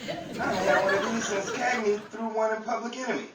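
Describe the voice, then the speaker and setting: A man's voice: high-pitched, fairly even intonation, brisk, over a noisy crowd, lightly acted. A man playing a guilty, blustering glutton in a comically exaggerated 1930s radio comedy. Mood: self-satisfied. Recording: echoey, noisy, distant stage recording with audience.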